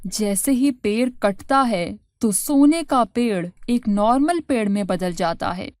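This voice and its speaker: A woman's voice: fairly high pitch, expressive, unhurried, darkish tone, no room sound, clean studio sound. A woman reading scripted narration for a children's story.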